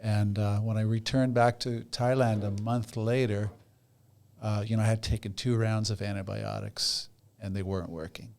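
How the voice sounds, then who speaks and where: Male podcast guest, moderately low register, colloquial and plain-spoken, recounting personal experience. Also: little pitch movement, normal speed, vocal fry, a little mellow. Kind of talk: casual personal storytelling.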